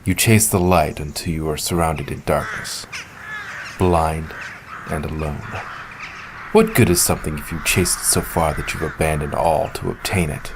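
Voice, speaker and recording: A man playing a solemn, reserved young ninja and elder brother in an audio drama, speaking in a measured, formal, brooding way. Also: a bass voice, background noise. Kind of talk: fan audio drama acting.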